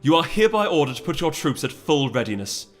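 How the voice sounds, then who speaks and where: A man's voice: on the high side, sing-song, fast, faint music behind, voice pushed up. A man voicing a proud, resolute noble mare who speaks formally and imperiously in a dramatic fantasy audiobook. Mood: commanding.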